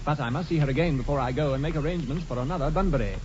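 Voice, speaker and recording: An actor playing a flippant, ardent young English gentleman suitor in a 1940s radio comedy, with an upper-class accent and suave, effusive delivery. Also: on the high side, rapid-fire, lightly acted. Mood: breezy. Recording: dull, lightly hissy 1940s radio recording.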